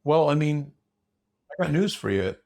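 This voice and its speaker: A man's voice: on the high side, expressive, moderate pace, gravelly, darkish tone. A man in a casual unscripted discussion, opinionated and blunt, rambling through broken-off sentences.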